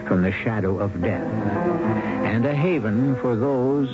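A man hosting and narrating a mystery radio drama in an ominous, deliberate, theatrical style. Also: mid-range voice. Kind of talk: dramatic scripted narration, old-time radio. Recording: dull old radio recording under loud music. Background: loud music.

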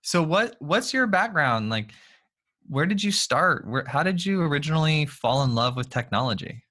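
A man's voice: a little high, animated, moderate pace, bright, forceful tone. A young man hosting a podcast, chatty and casual, filling his talk with "like". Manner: chatty, heavy use of "like". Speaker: podcast host.

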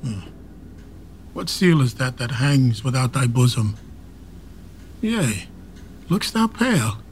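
An actor playing a stern, proud elderly English duke in a Shakespeare radio play, declaiming archaic verse with a quick temper. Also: male voice, mid-range voice, lively intonation, a little hiss or hum. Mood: suspicious, insistent. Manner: declamatory, measured verse delivery.

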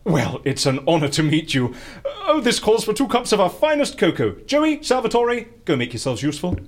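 A whimsical, excitable woman in charge in an audio drama. Her pitch leaps all over as she gushes. She is theatrical and effusive, with quaintly old-fashioned exclamations. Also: brisk. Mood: delighted, hospitable. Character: gushing, excitable female boss, posh and quaintly whimsical.

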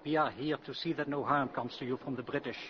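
A man's voice: mid-range voice, steady tone, quick pace, a little hiss or hum, close to an everyday voice. An actor playing a stiff, insistent German henchman in a 1950s radio drama, formal and wary. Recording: dull old 1950s radio recording.

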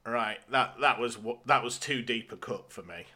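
A man chats casually as co-host of a podcast. His voice is bright and forceful, at a middling pitch for a man. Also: normal speed, slightly rough.

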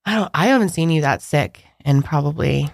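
A woman's voice: deep voice, animated, brisk, creaky, no room sound, studio quality. A young woman co-hosting a podcast, chatting and narrating a story, nasal with a scratchy throat from a cold. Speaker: podcast co-host. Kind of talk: casual chat and narration.

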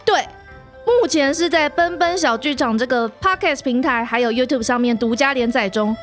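A woman's voice: high voice, moderate pace, faint music behind. A woman with a Taiwanese Mandarin accent chats casually and playfully as a podcast host explaining her storytelling. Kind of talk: casual chat.

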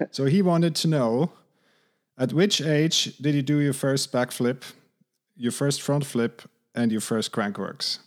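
A middle-aged man hosting a podcast, asking questions in a friendly, halting way full of fillers. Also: mid-range voice. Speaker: podcast host. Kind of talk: interview questions.

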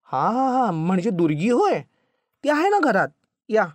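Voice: medium pitch, big pitch swings, moderate pace, a little mellow, no room sound. A narrator voicing a meek, simple young husband who falters and tries to placate. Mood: confused.

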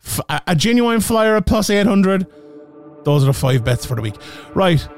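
A man's voice: sits high, big pitch swings, normal speed, no room sound, clean studio sound, soft background music. An Irish man hosting a casual betting show, thinking aloud and checking the odds as he talks. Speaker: host. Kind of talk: casual betting picks talk.

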